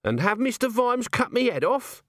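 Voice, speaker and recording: A man's voice: very high voice, very animated, moderate pace, no echo, clean studio sound, voice pushed up. A man voicing a scruffy, dim, nervous corporal who talks in crude colloquial blurts, for a comic fantasy audiobook. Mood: alarmed. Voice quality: wheezy. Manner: colloquial, dropped standard grammar, blurting.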